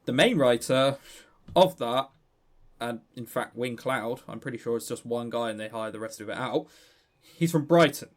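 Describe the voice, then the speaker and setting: A man with a southern English accent co-hosting a casual gaming news chat, animated, sardonic and sweary in manner. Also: medium pitch, moderate pace. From southern England. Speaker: podcast co-host.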